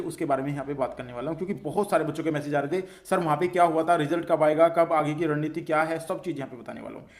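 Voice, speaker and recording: A man speaks very quickly in a slightly high voice. He sounds like an online host briefing students, setting out questions and answering them with frequent repetition. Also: darkish tone. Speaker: host of an exam news channel for students. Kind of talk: explanatory news update addressed to students.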